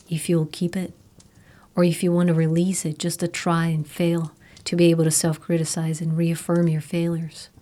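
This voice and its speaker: Slow, low-voiced woman guiding meditation. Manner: soothing, slow, hushed delivery. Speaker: meditation guide. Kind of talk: scripted guided meditation narration. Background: meditation music.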